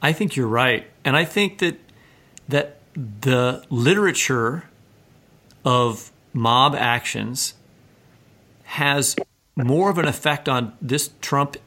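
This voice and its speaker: A man's voice: medium pitch, takes it slowly, sharp tone, slight background noise. A man hosting a literary podcast, in conversation and sometimes reading aloud. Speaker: podcast host.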